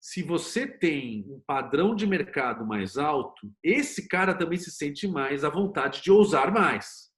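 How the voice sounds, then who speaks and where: Brazilian guy chatting in an interview, voice a bit on the high side, informal, makes his points with emphasis. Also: animated, a little slow, hardly any pauses, a bit bright. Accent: Brazilian Portuguese. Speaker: interview guest, TV producer and screenwriter. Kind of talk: casual interview conversation.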